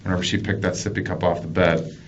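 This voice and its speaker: An American man working as a police detective, questioning someone in a measured way with repeated, restarted phrases. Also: deep voice, little pitch movement. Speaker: police detective conducting an interrogation. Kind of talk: investigative interview. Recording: muffled, noisy police interview room recording.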